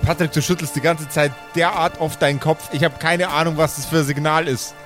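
A man's voice: fairly high pitch, steady tone, fairly quick. A man narrating an improvised tabletop roleplay podcast as game master, playful and joking. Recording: music playing behind the voice. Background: faint music.

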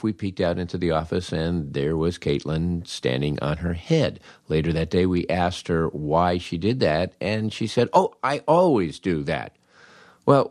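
Older american guy w a low voice, wry podcast storyteller, acts out dialogue, real dry sound. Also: no echo. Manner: wry, animated, acts out dialogue with dramatic pauses. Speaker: podcast host, comedy writer. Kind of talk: humorous first-person storytelling monologue.

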